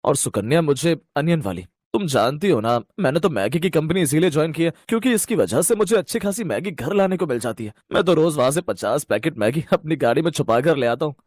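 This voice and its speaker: A man's voice: on the high side, expressive, fairly quick, hardly any pauses, a little mellow, dry recording, clean studio sound. A man voicing an easygoing, cheerful young husband in a cartoon story, with a breezy, playful manner. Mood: boastful.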